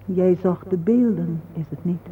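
A man acting a sorrowful, patient parent in a radio drama, speaking in a solemn, poetic, measured way. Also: sits high, breathy edge, heavily acted. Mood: gently knowing. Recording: narrow phone-like old radio recording, faint hiss.

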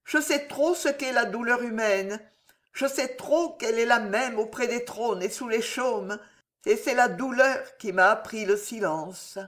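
A reader voicing a humble young tapestry worker in an audiobook, calm, soft and dignified. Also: female voice, on the high side, measured pace, pitched above the performer's own voice. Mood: gentle.

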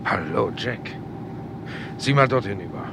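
Alert river policeman, swooping pitch. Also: animated, measured pace, vocal fry. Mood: uneasy. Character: alert London police boatman on river patrol. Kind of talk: 1960s German radio crime drama acting. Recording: 1960s radio play, noisy engine background.

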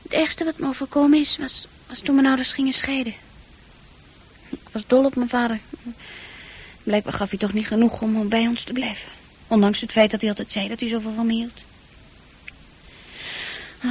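A woman playing a troubled, unsure teenage girl in a radio play, speaking intimately and hesitantly with a husky, breathy voice that often sinks to a near-whisper. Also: on the high side. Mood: wistful, hurt.

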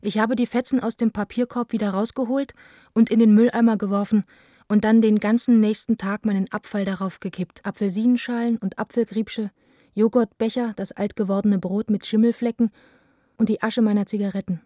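A mellow, dark-toned female voice in a dry, close recording gives us a passionate, wounded young woman played by an actress. She reads candid letters as an intimate, plainspoken radio play monologue. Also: medium pitch, steady tone, brisk, no room sound, lightly acted. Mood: hurt, defiant.

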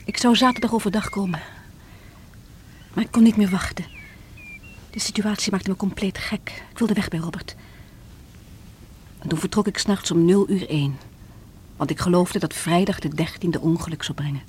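A woman's voice: lowish voice, moderate pace, pauses often, slight background noise, close to an everyday voice. An actress in a Dutch radio play as a proud, defiant woman being questioned by police, speaking formally with a sardonic edge. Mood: confiding.

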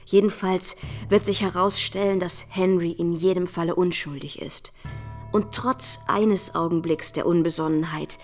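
Woman playing worldly young society lady reading her letter in a radio play, slightly low voice, pushy and polished, faint music. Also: fairly even intonation, normal speed, natural voice. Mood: urgent, insistent. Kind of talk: radio drama acting, letter read aloud.

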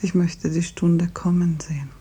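An actress in a 1950s radio drama playing a suffering, bravely resigned dying patient, with a breathy, often half-whispered voice. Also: female voice, lowish voice, level tone, normal speed, mellow, slight background noise, lightly acted. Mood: weak, tender, resigned.

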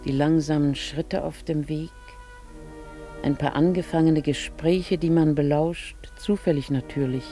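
A woman's voice: deep voice, fairly even intonation, measured pace, dark tone, faint music behind. An actress playing a genteel upper-class married lady in a German radio drama, composed and formal in manner, holding on to an elegant past. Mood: wistful, dreamy.

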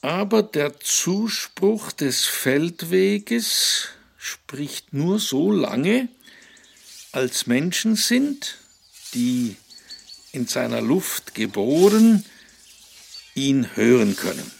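A solemn old philosopher in a radio play, speaking extremely slowly and oracularly. The voice creaks and swings in pitch, with outdoor birdsong and ambience around it. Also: male voice, big pitch swings, heavily acted. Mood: solemn, ponderous. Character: ponderous elderly male philosopher declaiming beside his hut.

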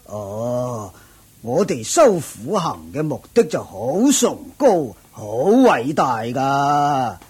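An actor playing a proud older ascetic master in a radio drama, with a husky, raspy voice, a drawling self-satisfied manner. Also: male voice, mid-range voice, very animated, extremely slow, a little mellow, heavily acted. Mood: proud, unhurried. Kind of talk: Cantonese radio drama acting.

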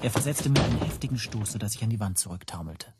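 An actor narrating a radio drama in the first person as an anxious language teacher, hushed and tense. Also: male voice, fairly low pitch, animated, fast talker, loud music behind the voice, natural voice. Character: anxious male language teacher recounting his spy troubles.

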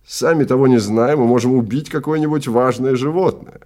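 A man's voice: mid-range voice, fairly quick, warm tone, voice pushed up, natural voice. A man playing a stern, contemptuous male time-travel guide in an audio drama, lecturing and commanding with great emphasis. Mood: grave warning. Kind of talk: Russian audio drama acting.

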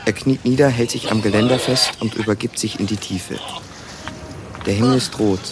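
An actor as a detached narrator, reading stage directions tersely and matter-of-factly in a radio play. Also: male voice, lowish voice, fairly even intonation, normal speed, heavy background noise, natural voice. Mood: detached, factual. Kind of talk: scripted narration.

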